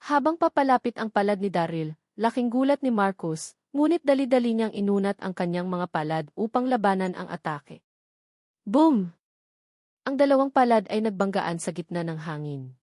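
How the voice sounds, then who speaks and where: A woman's voice: medium pitch, animated, moderate pace, a little mellow, no echo, clean studio sound. A woman reading a scripted story aloud as an audiobook narrator.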